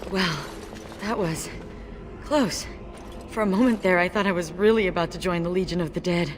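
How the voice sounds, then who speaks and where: A woman's voice: on the low side, moderate pace, background noise, close to an everyday voice. An actress playing a brave, wry dwarf warrior woman in a video game, speaking in an understated, matter-of-fact way. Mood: relieved, pained. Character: brave wry female dwarf warrior, sole survivor of her legion.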